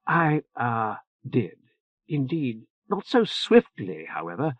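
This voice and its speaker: A man's voice: medium pitch, huge pitch swings, full character voice. A man voicing a fretful older male churchman who stammers, hedges and tags lines with "eh?", in a dull, muffled recording. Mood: anxious, fumbling. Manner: halting, full of "uh" and "eh?" tags. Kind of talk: audiobook character voice.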